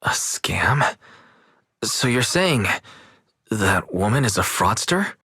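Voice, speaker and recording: A man playing a calm young man in a video game, husky and understated, speaking as a curious companion. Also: lowish voice, slow, no echo, studio quality, heavily acted. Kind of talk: video game character acting.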